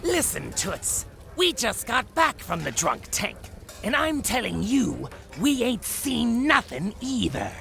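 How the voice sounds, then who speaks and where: A woman playing a scaly male barroom thug in a noir audio drama, with a gravelly voice and a taunting, slangy wiseguy drawl. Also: fairly high pitch, very animated, slow pace, a bit bright, soft background music, full character voice. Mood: mocking.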